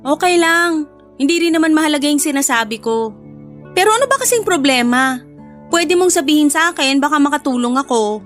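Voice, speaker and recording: A woman's voice: high voice, lively intonation, fairly quick, fairly bright tone, soft background music. A woman playing a blunt, protective best friend with a sassy, scolding manner in an audio drama. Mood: curious, concerned.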